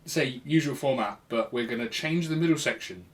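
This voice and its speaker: A man's voice: medium pitch, brisk. A man hosting a podcast in casual, rambling, jokey chat with a friend. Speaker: podcast host. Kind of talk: casual chat about retro games.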